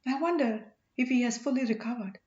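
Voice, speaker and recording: A woman's voice: fairly high pitch, fairly quick, a little mellow, close to an everyday voice. A woman voicing a loyal, teasing boatman husband in an audiobook, playful and earnest. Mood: thoughtful, purposeful. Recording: echoey room, dull lo-fi sound.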